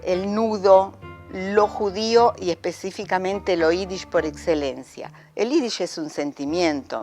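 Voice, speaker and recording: A middle-aged woman, a theatre director, speaking in a reflective interview with thoughtful, searching pauses. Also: fairly low pitch.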